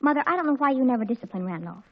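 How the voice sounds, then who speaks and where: An actress playing an excitable, dramatic teenage daughter, pleading and breathlessly earnest, in 1940s radio comedy style. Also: female voice, high-pitched, rapid-fire. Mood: anxious pleading. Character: excitable teenage girl, dramatic daughter anxious about her date. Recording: dull old 1940s radio recording.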